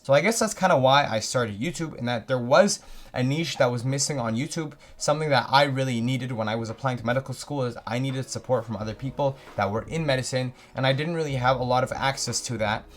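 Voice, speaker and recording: Young Canadian guy in his twenties, quick and upbeat vlogger energy, mid-pitched, chatty Q&A answers. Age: 20s. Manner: eager, upbeat, reads each question aloud then answers at length. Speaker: YouTuber answering viewer questions. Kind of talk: casual Q&A vlog talking to camera.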